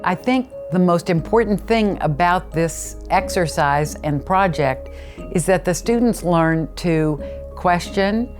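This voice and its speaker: A woman presenting introductory remarks about a program, with music playing behind her. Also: sits low.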